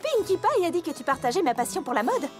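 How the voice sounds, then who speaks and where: A woman's voice: very high-pitched, very animated, fast. A woman voicing a polite, eager young female pony in a French-language cartoon dub, with theatrical expression. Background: music.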